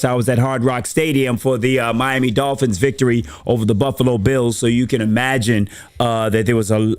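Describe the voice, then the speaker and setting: A man with a hoarse, strained voice talks football at a middle pitch. He explains plays in a measured, steady way. It sounds like casual co-host chat. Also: dry recording, clean studio sound. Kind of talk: casual sports talk.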